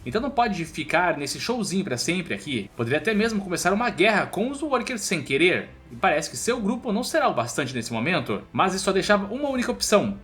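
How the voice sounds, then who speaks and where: High-pitched, very animated Brazilian male narrator. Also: fast, few pauses. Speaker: recap narrator. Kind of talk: scripted story recap narration in casual spoken language. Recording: music bed under voice. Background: background music.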